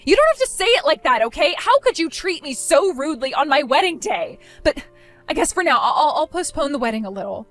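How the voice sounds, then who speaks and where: A woman's voice: high voice, very animated, fairly quick, fairly bright tone, soft background music. A woman playing a self-centered young bride who whines and pleads with her sister, in a scripted drama. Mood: whiny.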